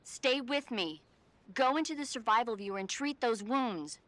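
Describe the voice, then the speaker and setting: An actress playing a medical advisor on the radio, calmly and patiently talking an injured agent through treating his wounds. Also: a little high, moderate pace, a little hiss or hum. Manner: calm, patient, instructive. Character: calm female field medic on radio. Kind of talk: video game cutscene acting.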